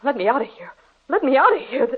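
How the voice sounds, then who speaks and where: A woman's voice: high-pitched, sing-song, fast. A woman playing a gossipy, superstitious and fearful neighbor in an old radio horror drama, speaking in an ominous, theatrical way. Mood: panicked. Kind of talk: 1940s radio horror acting. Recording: muffled, lo-fi old radio recording.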